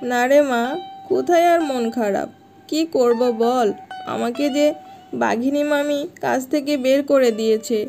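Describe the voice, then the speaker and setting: A woman's voice: sits high, measured pace, gravelly, darkish tone, soft background music. A woman voicing a loving, worried mother fox from a poor village in a fairy-tale cartoon.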